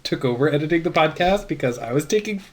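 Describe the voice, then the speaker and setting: A man's voice: on the high side, expressive, fast. A man co-hosting a podcast in a laid-back casual chat, laughing often and chiming in with short replies. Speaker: podcast co-host.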